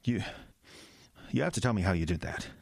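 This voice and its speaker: Man playing earnest, animated young pastor. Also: low voice, fairly quick, vocal fry, no room sound, clean studio sound, heavily acted. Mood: shaken, stammering. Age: young adult. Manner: earnest, stammering when rattled. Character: earnest, insistent young small-town pastor, easily flustered. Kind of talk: audio drama acting.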